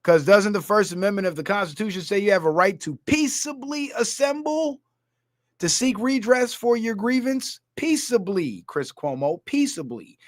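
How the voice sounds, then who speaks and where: A man's voice: high-pitched, lively intonation, moderate pace, dry recording, studio quality. A man from Guyana ranting through a political commentary livestream, heated and blunt, reading viewer comments aloud. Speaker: streamer.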